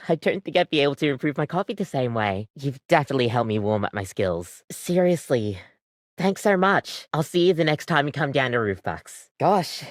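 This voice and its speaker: An animated, friendly young barista. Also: female voice, fairly low pitch, no echo, studio quality. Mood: earnest, hesitant, then bashful, affectionate. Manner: chatty, casual, expressive. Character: friendly, earnest young female coffee maker come to life as barista. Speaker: video game character. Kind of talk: video game voice acting.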